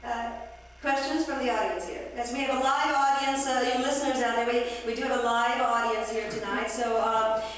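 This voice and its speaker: A woman performing as a playful, teasing radio call-in host in a live theatrical radio drama, with a halting, coy delivery. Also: on the high side, fairly even intonation, lightly acted. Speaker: performance artist. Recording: distant echoey hall, faint noise.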